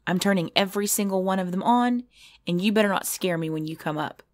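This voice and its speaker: A woman playing a cute, easily scared girlfriend in an intimate ASMR roleplay, pleading and whiny, often hushed. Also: medium pitch, fast talker, close to an everyday voice. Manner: pleading, whiny, dropping into hushed self-talk. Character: cute, jumpy girlfriend frightened by scary movies. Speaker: roleplay audio performer.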